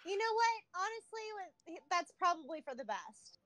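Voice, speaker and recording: A woman's voice: extremely high pitch, big pitch swings, normal speed, fairly bright tone. A woman in a reading cast, chiming in with casual teasing banter. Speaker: cast member in a staged reading.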